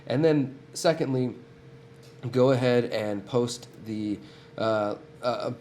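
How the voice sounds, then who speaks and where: A man's voice: mid-range voice, slow, darkish tone, slight background noise. A man with a cold hosting a weekly live stream, talking earnestly and directly to his viewers, often restarting his sentences. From United States. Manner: earnest, talks straight to viewers, frequent restarts. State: has a cold. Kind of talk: casual live-stream commentary.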